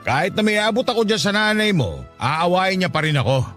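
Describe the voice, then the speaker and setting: Casting: man as sulky, tipsy dad for radio drama; high, animated voice, self-pitying and combative. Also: fairly quick. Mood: argumentative. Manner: sulky, argumentative. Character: tipsy, self-pitying, defensive father of a poor family. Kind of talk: Tagalog radio drama acting. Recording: music behind the voice. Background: music.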